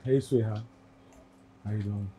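An actor with a Nigerian accent playing a smooth, persistent, generous suitor in a naturalistic screen drama. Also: male voice, lowish voice, fairly even intonation, unhurried, dull audio, slight background noise, natural voice. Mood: coaxing.

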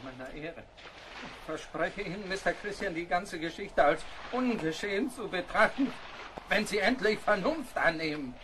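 A high, wildly swinging voice over clear ambient noise: an indignant, domineering ship's captain. He threatens and pleads in theatrical radio play style. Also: animated, normal speed. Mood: pleading, bitter. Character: outraged tyrannical male ship's captain, deposed by mutiny.

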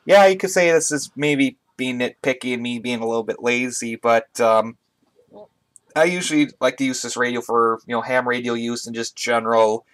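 A man giving a casual, conversational product review as a hobbyist, speaking haltingly off camera into a webcam at home. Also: mid-range voice, steady tone, fairly bright tone. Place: indoors, small room at home. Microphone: webcam on a tripod, speaker off camera.

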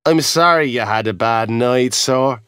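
A man's voice: mid-range voice, measured pace, fairly bright tone, clean tone, dry recording, clean studio sound, natural voice. A man voicing a polite, earnest young hotel boy. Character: polite young Irish hotel boy, weary yet helpful.

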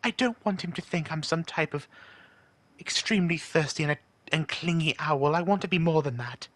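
A man's voice: fairly high pitch, a bit bright, no echo, natural voice. Man voicing an earnest, burdened owl demon prince. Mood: earnest, caring. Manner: earnest, halting, pleading. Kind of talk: in-character fan monologue in cartoon style.

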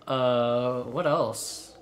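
A man's voice: medium pitch, fairly even intonation, extremely slow, slight background noise. A man co-hosting a gaming show with casual, rambling, crudely joking let's play banter. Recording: game music behind the voice. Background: video game music.

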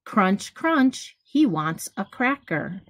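A middle-aged woman reading a children's picture book aloud, deliberately, pausing between phrases. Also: medium pitch, big pitch swings, a bit bright. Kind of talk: children's storybook read-aloud. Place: small room at home, indoors. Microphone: webcam or device at arm's length.

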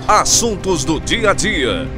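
A man's voice: high voice, big pitch swings, slow pace. A man with a Brazilian accent reading a scripted channel slogan as an announcer. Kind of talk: scripted channel jingle voice-over. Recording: loud music behind.

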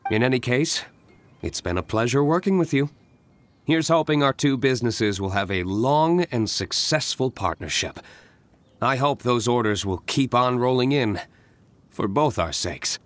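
A man playing a composed, courteous young business owner in a video game, speaking in a formal, measured, polite way. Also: medium pitch, lively intonation, lightly acted. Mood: polite, businesslike.

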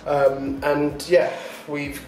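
A man's voice: a little high, monotone, very slow, darkish tone, reverberant, recorded from a distance, soft background music. A man talking casually to his vlog audience, rambling and chatty with lots of ums. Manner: rambling, chatty, with many fillers and short laughs. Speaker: vlogger. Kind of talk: casual daily vlog chat.

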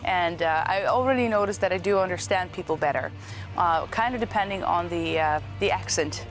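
A woman's voice: lowish voice, fairly quick, soft background music. A woman from the Netherlands whose first language is Dutch, talking in a thoughtful, friendly way and sometimes searching for words, in a documentary interview. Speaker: band vocalist.

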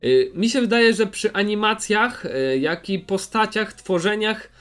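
A man's voice: sits high, expressive, measured pace, fairly bright tone. A young man giving casual, unscripted YouTube commentary in a hesitant, rambling, repetitive way. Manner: hesitant, rambling, repeats himself. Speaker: YouTuber presenting recommended channels.

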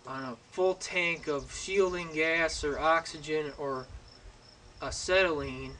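A young man explaining welding safety and technique outdoors by a garage, pausing often with ums and restarts, recorded on a camera mic from a few steps away. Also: on the high side, sharp tone. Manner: halting, many ums and restarts. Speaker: welding instructor. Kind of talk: casual how-to demonstration. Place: outdoors at an open garage door. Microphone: camera mic from a distance. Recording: faint outdoor insect noise. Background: crickets and insects outdoors.

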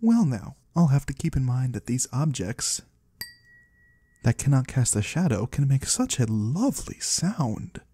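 A man's voice: medium pitch, very animated, measured pace, warm tone, slightly rough, no echo. A man playing a curious otherworldly trader in an ASMR roleplay, musing in quaintly formal words and savoring single ones close to the microphone. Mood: intrigued. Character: curious otherworldly male trader of the shadowy void. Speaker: ASMR performer. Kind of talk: ASMR roleplay, soft slow close speech to the listener. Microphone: close microphone, dry.

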